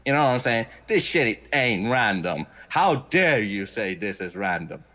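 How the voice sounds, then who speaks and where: A man's voice: on the low side, very animated, measured pace, sharp tone. A man from Sweden, not a native English speaker, debating in a blunt, profane, insistent way. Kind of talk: heated debate.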